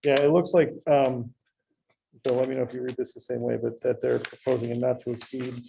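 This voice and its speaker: A man's voice: mid-range voice, little pitch movement, a little fast, gravelly, warm tone, a little hiss or hum, poor audio. A man speaking as a town official in a public meeting, summing up documents. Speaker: town official at a bid opening.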